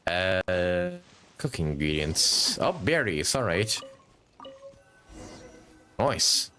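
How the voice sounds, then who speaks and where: A man's voice: low voice, big pitch swings, drawn-out words, bright, forceful tone. A man doing casual, informal live commentary as he streams a video game. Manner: informal, swearing, chatty. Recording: faint noise behind.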